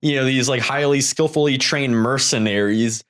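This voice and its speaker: A man co-hosting a podcast, discussing films casually in a halting, hedging way. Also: medium pitch, fairly bright tone. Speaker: podcast co-host.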